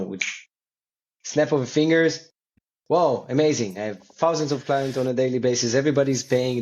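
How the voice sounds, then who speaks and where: A man who speaks as a talks in a conversational interview style at a middle pitch. He halts and often repeats words and phrases as he explains. The recording is dull and lo-fi. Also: a little mellow. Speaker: company co-founder and CEO, interview guest. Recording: dull, lo-fi call audio.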